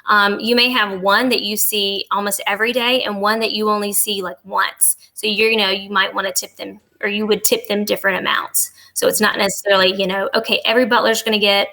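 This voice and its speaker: A woman's voice: mid-range voice, level tone, a little fast, hardly any pauses, sharp tone, poor audio. A woman speaking as a podcast guest, giving conversational answers in an interview. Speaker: podcast guest, travel agent.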